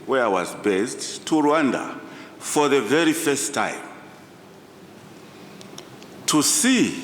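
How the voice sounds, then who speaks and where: A man gives a formal address as a professor, speaking deliberately and emphatically in short phrases with pauses. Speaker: professor giving a conference address. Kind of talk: formal public speech, storytelling. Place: large indoor conference hall. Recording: echoey hall, distant reverberant sound, low rumble.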